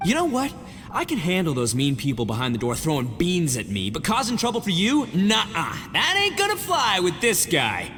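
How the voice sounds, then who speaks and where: Boisterous young male gang boss for an anime game: high-pitched, swooping delivery, slangy, bombastic. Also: wildly swooping pitch, normal speed, fairly bright tone, full character voice. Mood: boisterous, determined. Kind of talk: anime video game voice acting. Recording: echoing long reverb, music behind.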